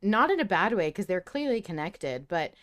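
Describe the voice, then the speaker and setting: A woman's voice: medium pitch, big pitch swings, moderate pace. A woman co-hosting a podcast, talking casually and emphatically as she shares her opinions as a fan. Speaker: podcast co-host. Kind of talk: casual fan discussion of an audio drama.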